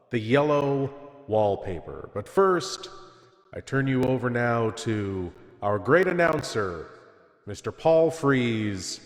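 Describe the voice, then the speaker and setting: A man hosting a horror show with wry, tongue-in-cheek, drawn-out delivery. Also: medium pitch. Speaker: show host. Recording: echoey, long cavernous reverb.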